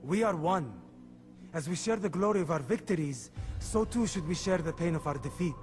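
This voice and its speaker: A man's voice: a little high, expressive, normal speed, lightly acted. A man playing a candid, proud assassin comrade in a video game, speaking formally and pointedly with music behind him. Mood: earnest, forgiving. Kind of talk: dramatic video game dialogue.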